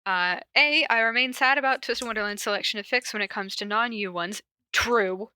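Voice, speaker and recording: Woman, bright voice, slightly high pitch, casual podcast chat, giggly, playful. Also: normal speed, clean tone, dry recording. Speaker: podcast host. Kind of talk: casual podcast chat with reading aloud of notes.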